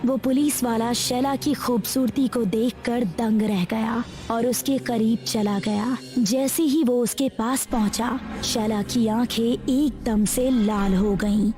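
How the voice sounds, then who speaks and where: A woman's voice: a little high, fairly even intonation, takes it slowly, few pauses, music in the background. A woman narrator reading a scripted horror story.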